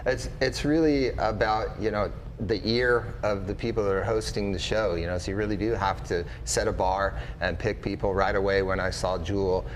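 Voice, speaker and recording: A man's voice: fairly low pitch, steady tone, moderate pace, few pauses, a little hiss or hum. A man who hosts a radio show, answering questions in casual interview talk.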